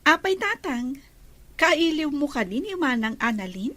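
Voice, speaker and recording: A woman's voice: high-pitched, sing-song, unhurried, sharp tone, slight background noise, heavily acted. A woman playing a playful, teasing younger sister in an Ilocano audio drama.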